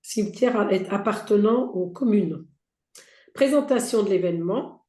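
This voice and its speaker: A hoarse woman who has lost part of her voice presents slides for a heritage federation, reading out points with many fillers and restarts. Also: medium pitch, expressive, unhurried, a little mellow. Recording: roomy echo.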